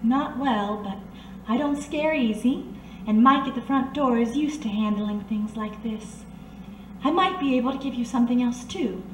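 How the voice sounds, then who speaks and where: A woman playing a worldly, soothing working woman in an old-time radio detective drama, coaxing and unflappable. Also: high-pitched. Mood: assured, flirtatious. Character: blind, worldly, soothing 1930s working woman who knows underworld secrets. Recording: roomy, distant amateur recording, faint noise.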